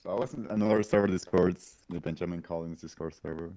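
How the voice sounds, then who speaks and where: A man composer with a very low voice, chatting casually on a podcast call, laughing often and pausing on fillers. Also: steady tone, warm tone, no room sound. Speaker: composer on the team.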